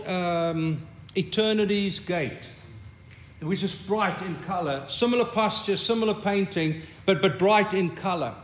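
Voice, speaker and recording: An older man with a South African accent, Afrikaans being his first language, leads a congregation in a sermon that sounds like a conversation. His voice sits high for a man. He talks in a reflective way and often says a word twice before a thought gets going. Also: a bit bright, slight background noise. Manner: reflective, repeats words when starting a thought. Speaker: pastor.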